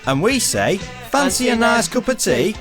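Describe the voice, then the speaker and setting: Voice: medium pitch, very animated, moderate pace, over music, lightly acted. A performer playing a cheeky working-class English storyteller, chatty and colloquial, acting out neighbours' exchanges in a radio play. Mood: indignant, chatty. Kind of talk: scripted storytelling monologue in a stage-style radio play.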